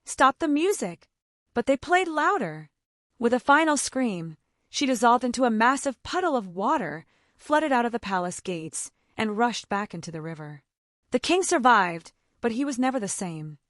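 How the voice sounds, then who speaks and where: Lady telling a folktale, suspenseful and theatrical, really lively pitch, dry studio-like sound. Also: moderate pace, no room sound. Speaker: storyteller. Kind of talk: dramatic scripted folktale narration.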